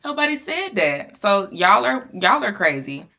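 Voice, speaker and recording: A woman's voice: mid-range voice, sing-song, a little slow, sharp tone. Blunt, profane young woman co-host. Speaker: podcast co-host. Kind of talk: casual unfiltered chat.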